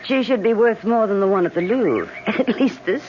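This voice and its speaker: A woman's voice: medium pitch, moderate pace, darkish tone, close to an everyday voice. Airy, teasing young heiress played by a woman. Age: young adult. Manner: airy, playful, disdainful. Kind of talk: old-time radio drama acting. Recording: noisy, dull old radio recording.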